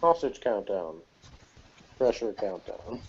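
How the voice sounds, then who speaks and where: A man's voice: fairly low pitch, sing-song, takes it slowly, husky. Wry man chatting, muffled sound. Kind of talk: casual chat, TV episode review.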